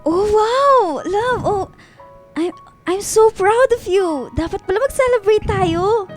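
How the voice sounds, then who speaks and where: A woman's voice: very high voice, lively intonation, slow pace, full character voice. An actress playing a devoted but suspicious housewife in her thirties in a radio drama. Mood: sweet, affectionate. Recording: music bed behind the voice.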